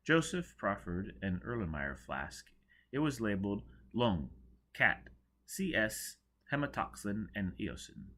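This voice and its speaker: A man reading an audiobook, narrating dramatically with a theatrical, expressive delivery. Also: fairly low pitch, unhurried. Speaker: audiobook reader.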